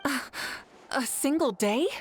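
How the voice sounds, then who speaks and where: An actress playing a composed, confident warrior leader in a video game, with a measured, poised delivery. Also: female voice, high-pitched, animated, noisy background. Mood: composed, curious.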